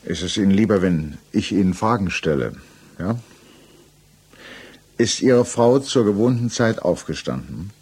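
Deep, husky examining magistrate. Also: male voice, lively intonation, darkish tone, exaggerated voice. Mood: measured, insistent. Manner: formal, deliberate, precise questioning. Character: grave, methodical examining magistrate, courteous but insistent. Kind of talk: 1960s German radio drama acting. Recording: mono 1960s radio recording.

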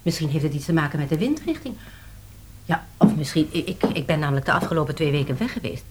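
An actress playing a brisk, businesslike woman publishing editor in a Dutch radio play, questioning and suspicious. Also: sits low, lively intonation, very noisy. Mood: puzzled, evasive.

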